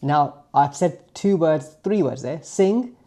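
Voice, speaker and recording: British man in his forties, easygoing vlogger, chatty, jokey asides, warm mellow tone. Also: a little high, animated, slow. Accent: British English. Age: 40s. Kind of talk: casual chat to camera.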